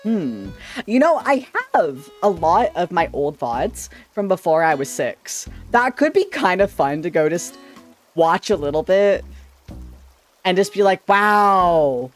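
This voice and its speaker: A man chatting casually on a livestream with a slightly shrill, sharp-sounding voice, rambling playfully and tripping over his words. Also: big pitch swings, slow pace, soft background music. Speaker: streamer. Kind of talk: casual livestream chat with viewers. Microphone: desk microphone.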